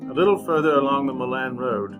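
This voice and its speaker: A man playing a composed, honorable gentleman newspaperman in a re-created old-time radio western, speaking formally and with measured politeness. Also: medium pitch, music in the background, natural voice. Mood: calm narration. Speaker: actor narrating as lead character.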